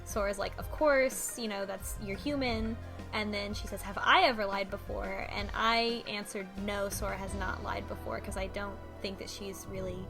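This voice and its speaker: A woman's voice: medium pitch, steady tone, moderate pace, over music. A woman co-hosting a casual discussion, recapping plot in a thoughtful way with many fillers. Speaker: podcast co-host. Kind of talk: casual discussion of a visual novel.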